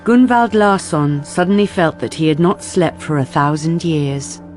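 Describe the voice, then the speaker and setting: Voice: medium pitch, a little slow, faint music behind. A narrator reading scripted story passages for a radio drama.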